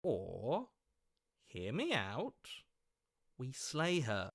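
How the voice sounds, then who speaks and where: A man voicing a scheming, flattering opportunist in a video game, with an oily, ingratiating and theatrical delivery. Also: mid-range voice, very slow, gravelly, heavily acted. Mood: conspiratorial.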